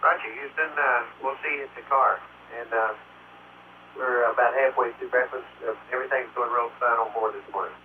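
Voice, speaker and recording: A man astronaut speaking to ground control over space radio, relaxed and chatty with frequent fillers, on a lo-fi radio recording. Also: mid-range voice, a little fast. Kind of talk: air-to-ground radio communication. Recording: dull lo-fi space-to-ground radio with faint hiss.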